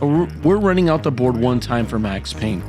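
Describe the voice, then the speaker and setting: Male vlogger, mid-range voice, a bit hoarse, punchy dramatic voice-over with music behind. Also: medium pitch, lively intonation, normal speed. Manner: hyped, dramatic play-by-play. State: slightly hoarse from a cold. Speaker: poker vlogger. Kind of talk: voice-over narration of poker hands. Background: background music.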